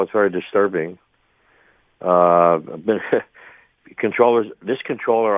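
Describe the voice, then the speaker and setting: Phone-in expert: older male, fairly low register, thin telephone sound, halting and reflective, repeats himself for emphasis. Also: no room sound. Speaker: retired air traffic controller, interview guest. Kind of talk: spontaneous radio interview commentary. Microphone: phone call to a radio show. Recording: tinny, band-limited phone line.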